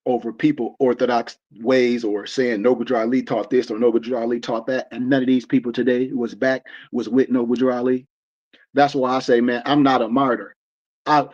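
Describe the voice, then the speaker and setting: A man's voice: medium pitch, a little mellow. Man in his forties preaching on a lo-fi stream. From United States. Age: 40s. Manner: rambling and emphatic, many restarts and repeated fillers. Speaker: host of an online teaching stream. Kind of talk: casual preaching lecture.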